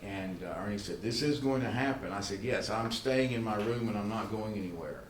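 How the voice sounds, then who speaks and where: A man hosting a conference, speaking informally and haltingly to the room, often repeating words. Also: lowish voice. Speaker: event host. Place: good-sized meeting room. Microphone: livestream microphone, not amplified in room. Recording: roomy echo, faint background noise.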